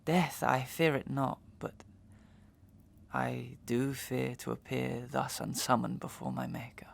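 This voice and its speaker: Haunted, gravelly gentleman declaiming slowly. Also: medium pitch, level tone. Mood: despairing. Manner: declamatory, halting, overwrought. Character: anguished, haunted gentleman, despairing and half-mad. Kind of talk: Victorian melodrama soliloquy.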